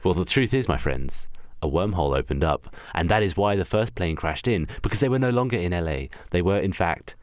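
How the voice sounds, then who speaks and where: A man's voice: deep voice, lively intonation, fairly quick, hardly any pauses, no room sound, studio quality. A man narrating an improvised comic radio play in a theatrical, rambling way, often correcting himself. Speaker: podcast host narrating a story.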